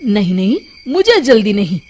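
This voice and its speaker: A woman's voice: medium pitch, very animated, moderate pace, a little mellow, slight background noise, lightly acted. Woman voicing a bold, clever village man, emphatic. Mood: firm, teasing. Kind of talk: children's story dialogue. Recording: background music under the voice.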